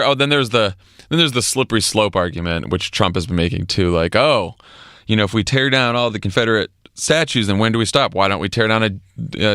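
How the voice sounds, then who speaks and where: A man's voice: fairly low pitch, fairly bright tone, no echo, clean studio sound. An American man co-hosting a podcast, giving casual political commentary in an emphatic, conversational way. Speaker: podcast co-host.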